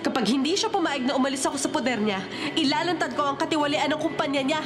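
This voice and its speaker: A woman playing a wronged, resolute young woman in a radio drama, speaking in a stern, deliberate, dramatic way. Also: high-pitched, lightly acted. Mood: indignant. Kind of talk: Filipino radio drama acting. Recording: dramatic music behind.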